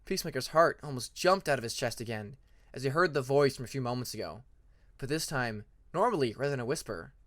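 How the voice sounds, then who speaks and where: Animated man narrating fiction. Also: mid-range voice, fast talker, no room sound, clean studio sound. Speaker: audiobook narrator.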